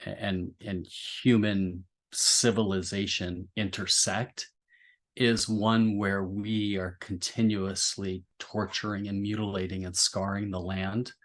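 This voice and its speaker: A man in a thoughtful literary discussion, speaking reflectively and feeling his way through roundabout, halting phrasing. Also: on the low side, level tone, fairly bright tone. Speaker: podcast discussion participant.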